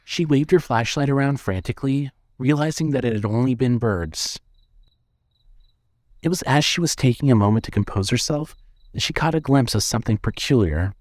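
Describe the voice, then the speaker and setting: A man's voice: medium pitch, expressive, normal speed, a little mellow, no echo, studio quality. A man reading scripted suspense fiction as a narrator.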